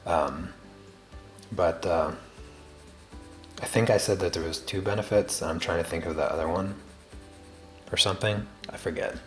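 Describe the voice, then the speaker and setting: A man with a very low, soft and quiet voice chats with viewers while drawing on a livestream. He talks slowly and deliberately, often repeating a word before going on. Faint music plays behind him. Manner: slow, deliberate cadence with many restarts. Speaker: art livestream host.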